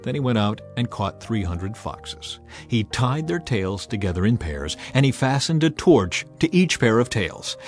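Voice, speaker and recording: A man narrating a dramatized scripture audiobook as an expressive storyteller. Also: mid-range voice. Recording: music behind the voice. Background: music.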